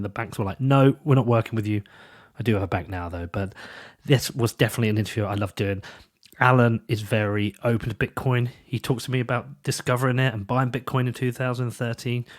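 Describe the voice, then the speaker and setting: A man hosting a podcast, talking through his intro and sponsor reads in a chatty, upbeat way while stuffy from a cold. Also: medium pitch. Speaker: podcast host.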